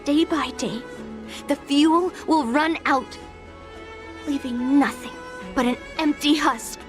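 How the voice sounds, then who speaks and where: A headstrong young game swordswoman, speaks in a high voice at a slow, measured pace. She is serious and defiant, intent on her mission, with faint music underneath. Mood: grave explaining. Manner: defiant, determined, explanatory. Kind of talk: video game character acting.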